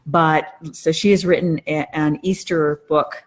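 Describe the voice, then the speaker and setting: Middle-aged female podcast co-host, notably low pitch, earnest and explanatory, conversational delivery. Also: steady tone, unhurried. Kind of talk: casual conversational podcast talk.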